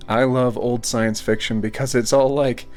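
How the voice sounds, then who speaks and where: A man's voice: mid-range voice, steady tone, moderate pace, a little mellow, soft background music. A man hosting a show, reading posts aloud and chatting casually in a jokey, easily amused manner. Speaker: host.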